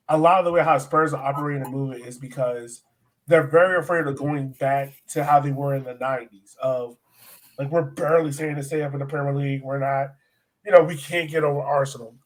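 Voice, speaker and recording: A man's voice: a little high, brisk, fairly bright tone, low-quality recording. A man co-hosting a sports podcast, chatting casually and agreeably as he builds on points. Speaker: podcast co-host. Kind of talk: casual soccer discussion.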